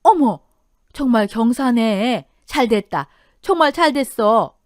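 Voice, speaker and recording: A woman's voice: high-pitched, expressive, measured pace, darkish tone, no room sound, clean studio sound, pitched above the performer's own voice. A woman voicing a dignified, protective older mother with a heartfelt, emphatic manner. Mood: delighted.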